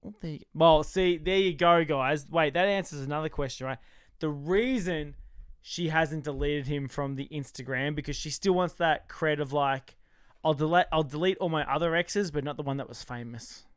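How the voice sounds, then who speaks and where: An Australian man co-hosting a casual recap chat, loud, jokey and excitable, trash-talking on a close mic. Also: on the high side, moderate pace, clear, resonant tone, no echo. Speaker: podcast co-host.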